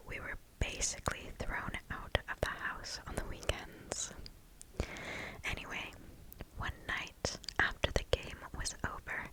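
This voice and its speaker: A woman speaks entirely in a soft, breathy whisper, very close to the microphone. Her delivery is slow and deliberate, with gentle phrasing suited to an ASMR reading of scary stories. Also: rough recording. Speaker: ASMR host.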